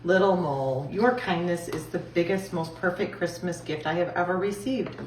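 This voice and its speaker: A woman voicing a gentle, loving mother mole in a storybook. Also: on the low side, normal speed, roomy sound, far from the mic, slight background noise, lightly acted, rough recording. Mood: tender. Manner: gentle, caring storybook talk.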